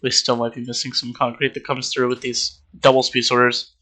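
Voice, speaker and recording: A young man talks through a game build in a loose, rambling way, filling pauses with ums. His voice is bright and a little congested. He speaks into a desk mic. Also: mid-range voice. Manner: rambling, relaxed, many ums. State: slightly congested. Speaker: Minecraft server player. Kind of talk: casual gameplay walkthrough.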